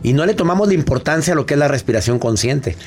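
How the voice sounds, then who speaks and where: A man hosting a podcast, moving the interview along with quick follow-up questions. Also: fairly high pitch, expressive, a little mellow, soft background music. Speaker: podcast host.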